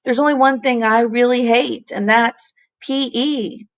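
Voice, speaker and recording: A woman's voice: fairly high pitch, steady tone, a little slow, pitched above the performer's own voice. Candid little schoolgirl voiced by a woman reader. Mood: complaining.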